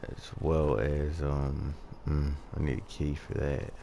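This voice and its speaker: A man's voice: rumbling low, monotone, slow pace, gravelly, mellow, slight background noise. A man giving casual commentary while playing a game. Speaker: gamer narrating own playthrough.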